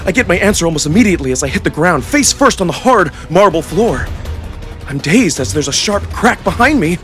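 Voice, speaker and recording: A man narrating a first-person story in a theatrical, expressive, scripted style. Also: sits high, a little fast, soft background music. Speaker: narrator and voice actor.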